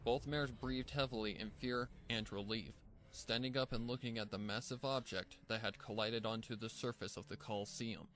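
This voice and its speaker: A man narrating a dramatic scripted fan fiction story in a measured, theatrical way. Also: mid-range voice, steady tone, fairly bright tone. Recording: music bed behind the voice. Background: background music.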